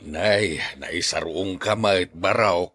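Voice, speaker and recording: A man's voice: low-pitched, takes it slowly, a bit bright, no echo, exaggerated voice. An actor playing a cautious, no-nonsense older village man in a radio drama. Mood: wary, gruff.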